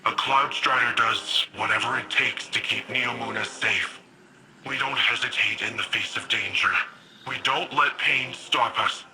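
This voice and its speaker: A man's voice: medium pitch, steady tone, a little slow, noisy background, lightly acted. A man voicing a brash, reckless young guardian. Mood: grieving. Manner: defiant, impulsive, earnest. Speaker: ally character in a video game. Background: game music.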